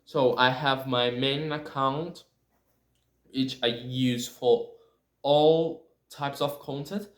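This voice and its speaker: A Brazilian man with a Portuguese-speaker's accent talks casually in an echoey room. His pace is slow and his pitch sits in the middle of the male range. He halts, hunts for words and corrects himself as he goes. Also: steady tone. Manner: halting, self-correcting, searching for words. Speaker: video creator. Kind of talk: casual talk to camera about language learning.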